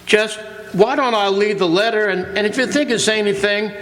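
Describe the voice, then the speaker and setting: Echoey hall radio play: older rural postmaster male actor, high voice, modest, folksy, clipped and diffident. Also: moderate pace, a bit bright. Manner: diffident, clipped country phrasing. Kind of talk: radio drama acting. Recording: echoey hall stage recording.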